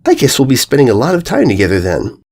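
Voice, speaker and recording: A cheerful, clueless grown mare from a storybook, played by a male reader. Her voice is pitched up and swoops about, airy and naive, always upbeat. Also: huge pitch swings, fast talker, vocal fry, a little mellow, breathy edge, exaggerated voice. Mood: carefree. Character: dim-witted, cheerful, innocent adult female cartoon pony. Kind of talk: storybook narration character voice.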